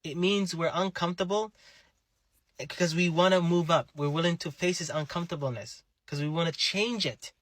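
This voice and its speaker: A man's voice: fairly high pitch, normal speed, fairly bright tone, no echo. A man speaking earnestly to camera, appealing to his own community. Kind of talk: personal monologue to camera.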